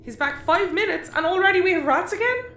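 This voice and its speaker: Wry put-upon crewmate, animated pitch, roomy echo, sci-fi comedy. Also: female voice, fast talker, exaggerated voice. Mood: exasperated. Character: wry, exasperated, capable female crewmate. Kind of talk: sci-fi audio comedy acting. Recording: roomy echo, faint background noise.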